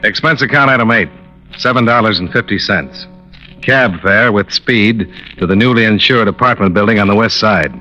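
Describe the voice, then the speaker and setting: An actor playing a hard-boiled American insurance investigator in a 1950s radio detective drama, clipped, matter-of-fact and wry. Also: male voice, fairly low pitch, normal speed, over music, close to an everyday voice. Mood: dry, brisk. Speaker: narrator and lead detective. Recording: dull old radio broadcast recording.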